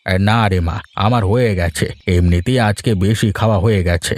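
A man's voice: sits low, moderate pace, natural voice. A man voicing a genial ageing father-in-law in a cartoon, easygoing, agreeable and meek with his daughter. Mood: meek, fond. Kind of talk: Bengali cartoon dialogue dub.

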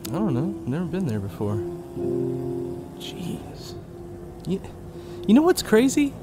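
A man's voice: high voice, huge pitch swings, a little slow, music in the background, full character voice. A man playing an impatient, wisecracking young sidekick in an audio drama, nervy and flippant. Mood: curious, flippant.

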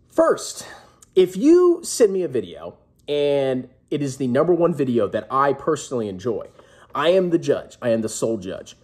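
Casting: male vlog host, thirties, rough-edged husky voice, lively swooping delivery, emphatic joking showman. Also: fairly high pitch. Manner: brash, jokey, emphatic showman. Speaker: personal finance vlogger, host. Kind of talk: casual announcement to camera. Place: small indoor room at home.

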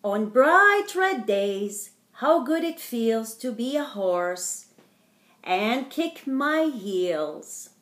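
A middle-aged woman reading a picture book aloud to young children in a theatrical, deliberate way, as a storytime host. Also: on the high side, sing-song, a bit bright. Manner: theatrical, slow and deliberate, addressing small children. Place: indoor wood-panelled living room. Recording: roomy, echoing home recording.

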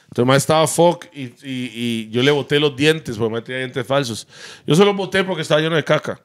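A Costa Rican man co-hosting a podcast, telling crude, animated stories in a casual chat on a handheld mic. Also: medium pitch, quick pace. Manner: crude, animated storyteller, acts out voices. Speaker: podcast co-host. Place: studio set like a living room.

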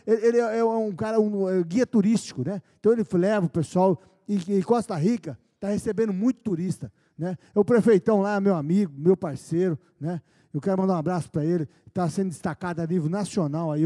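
High-voiced brazilian host calling out fans. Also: male voice, mellow, long reverb tail. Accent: Brazilian Portuguese. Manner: folksy, exclaiming, greets viewers by name. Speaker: TV show host. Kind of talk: casual studio chat and shout-outs. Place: TV studio set dressed as a country bar. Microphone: handheld mic.

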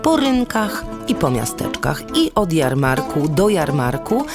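A woman's voice: sits low, sing-song, unhurried. A woman narrating a fairy tale expressively, with lyrical, rhythmic phrasing. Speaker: storyteller. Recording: music behind the voice. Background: instrumental music.

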